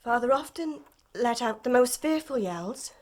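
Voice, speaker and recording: A guarded young woman, curt, weary, higher voice. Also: animated, a little slow. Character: guarded young woman, a dead man's daughter. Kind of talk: radio drama acting.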